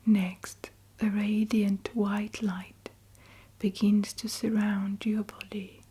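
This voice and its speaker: A woman leading a guided meditation in a breathy whisper, in deliberate phrases with long pauses between them. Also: medium pitch, flat delivery, dark tone, a little hiss or hum.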